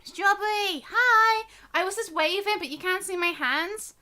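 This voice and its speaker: A woman's voice: very high-pitched, a little slow, pushed and bright. A woman chatting casually and bubbly as she hosts a gaming livestream, rambling along and talking to her viewers. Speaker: livestream host.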